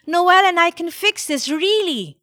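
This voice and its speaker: A woman voicing a lively, caring young girl in a children's story, exclamatory and emphatic. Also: very high-pitched, a little slow, dry recording, studio quality, voice pushed up, heavily acted. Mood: insistent, eager.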